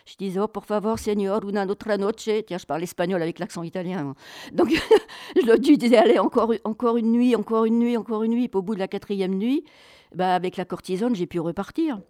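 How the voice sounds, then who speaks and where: A woman telling travel stories as an interview guest, chatty, colloquial and expressive, acting out bits of dialogue. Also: mid-range voice, no echo, clean studio sound. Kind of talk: animated travel storytelling.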